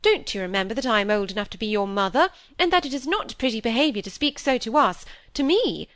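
A woman's voice: on the high side, big pitch swings, fast talker, a bit bright, dry recording, clean studio sound, pitched above the performer's own voice. A woman voicing a stern, bossy older spinster in a storybook, speaking in an emphatic, sententious way. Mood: indignant. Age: middle-aged or older.

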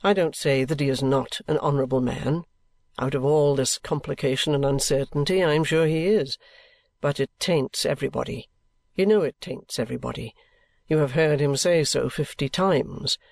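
Male actor as young gentleman, period novel dialogue: earnest, weary, evasive, creaky edge, very dry sound. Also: mid-range voice, normal speed, no echo, lightly acted. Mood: insistent. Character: restless young gentleman, affectionate but bitter and evasive. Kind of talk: acted dialogue in a classic novel audiobook.

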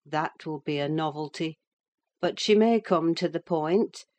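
A woman's voice: deep voice, unhurried, darkish tone. A woman voicing a no-nonsense, sardonic female servant in a dramatised audiobook, dry, sententious and scolding. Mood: cool, disapproving. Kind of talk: dramatised audiobook reading of a classic novel.

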